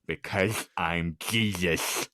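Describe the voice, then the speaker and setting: A man hosting a comedy podcast, reading out scenarios and bantering in a deadpan manner. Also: deep voice, extremely slow, a crowd in the background. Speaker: podcast host.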